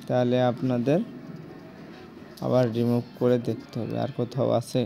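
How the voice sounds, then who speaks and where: Gravelly man teaching a drawing lesson. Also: medium pitch, monotone, moderate pace, warm tone, faint music behind. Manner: repetitive, coaxing, addresses viewers directly. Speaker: animation tutorial teacher. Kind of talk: screen-recorded drawing lesson.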